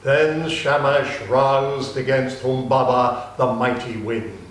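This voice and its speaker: A man giving a solemn, deliberate narration in a dramatic reading of an ancient epic. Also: mid-range voice, steady tone. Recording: very echoey room with faint noise.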